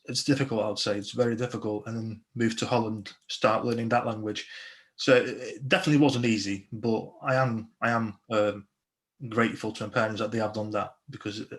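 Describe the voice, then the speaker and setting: Police constable, young man, low flat delivery, earnest, halting restarts, video call audio. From Slovakia. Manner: earnest, many restarts and fillers.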